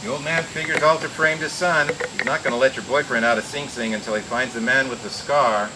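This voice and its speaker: Man acting hard-boiled detective, mid-range voice, flippant wisecracks, radio crime drama narration, clearly noisy old recording. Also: mid-range voice, fairly even intonation, a little fast, natural voice. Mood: wry, defiant. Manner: wry, flippant delivery. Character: wisecracking, tough but playful male private detective.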